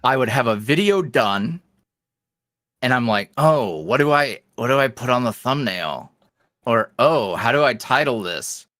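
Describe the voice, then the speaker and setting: A man's voice: medium pitch, lively intonation, unhurried, fairly bright tone, no echo. Man, young adult, podcast guest, rambling, filler-heavy, dry recording. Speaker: podcast guest, roleplay creator. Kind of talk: casual interview chat.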